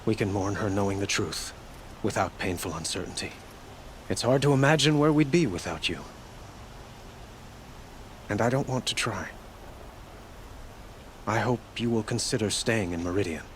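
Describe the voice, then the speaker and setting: An actor playing a gracious, grieving young king in a video game cutscene, speaking in a formal, measured, earnest way. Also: fairly low pitch, animated, slight background noise, natural voice. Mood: earnest gratitude.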